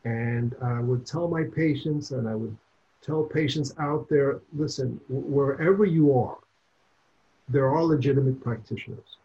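A man's voice: mid-range voice, unhurried, dark tone, muffled recording. An older man from Cuba, a clinician answering interview questions in an earnest way and repeating points for emphasis. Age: 60s or older.

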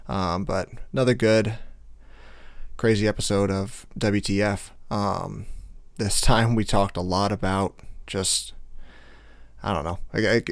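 A male podcast host with a slightly hoarse voice, talking casually in a rambling way with many fillers. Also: on the low side, no room sound. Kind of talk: casual solo podcast intro.

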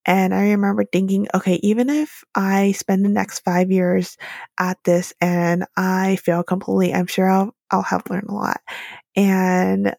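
A woman's voice: mid-range voice, flat delivery, measured pace, gravelly, a little mellow, dry recording, studio quality. A woman in her late twenties hosting a solo podcast, talking candidly and reflectively with plenty of "like" and "um". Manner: chatty, candid, lots of "like" and "um" fillers. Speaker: podcast host.

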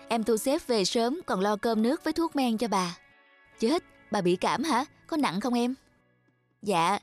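A woman voicing a polite, neighbourly market woman in an animated moral tale, courteous and conversational. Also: a little high. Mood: friendly, concerned. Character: market women and a young orange seller, polite, neighbourly. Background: faint music.

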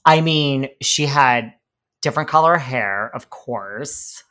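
A man who grew up between Connecticut and New York talks as a podcast guest, with a light New York accent. He rambles in a chatty, campy way and circles back over his own points. His voice sits at a middling pitch. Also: slow, pushed and bright. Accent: slight New York. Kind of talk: casual interview chat.

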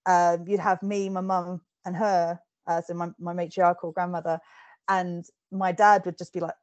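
A woman's voice: fairly low pitch, flat delivery, normal speed, darkish tone, no room sound, dull audio. A woman hosting an interview, talking casually with frequent giggles, fillers and restarts over a remote call. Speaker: podcast host interviewing an author.

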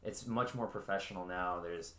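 A man's voice: sits low, moderate pace, bright, forceful tone, roomy sound. A man in his early twenties from California, chatting and telling stories as a podcast co-host, laughing often. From California, USA. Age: 20s. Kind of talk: casual chat.

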